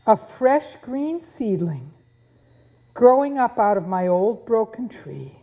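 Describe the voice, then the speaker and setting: A woman's voice: fairly high pitch, very animated, slow pace, mellow, full character voice. A woman playing a rueful, old-fashioned farmer in a puppet show, with quaint, sighing speech. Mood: wondering, resigned. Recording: muffled sound, guitar music behind.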